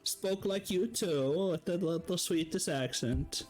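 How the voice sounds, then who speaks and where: A woman's voice: on the low side, measured pace, a little mellow, faint music behind, lightly acted. A man voicing a kindly old female dwarf shopkeeper who talks sweetly and dotes on customers. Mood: fond. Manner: sweet, doting.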